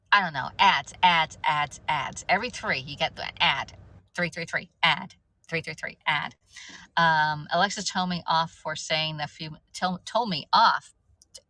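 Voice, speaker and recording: A woman's voice: fairly low pitch, bright, forceful tone, dry recording. A woman in her fifties chatting casually on a livestream, rambling off topic and greeting viewers by name. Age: 50s. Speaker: livestream host.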